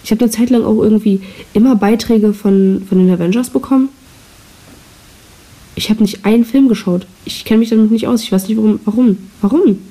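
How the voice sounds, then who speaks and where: A woman's voice: mid-range voice, brisk, warm tone. A teenage girl hosting her own podcast, chatting casually in a rambling, self-deprecating way, recorded on a cheap microphone with audible hiss. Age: teens. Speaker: podcast host. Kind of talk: casual solo chat.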